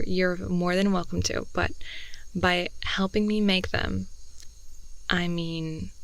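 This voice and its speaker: A young woman playing a talkative, affectionate, playful girlfriend in an improvised ASMR roleplay, rambling softly and often slipping toward a whisper, close to the microphone. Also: lowish voice, creaky, dry recording, poor audio. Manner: rambling, giggly, with doting asides. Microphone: close headphone-oriented ASMR microphone.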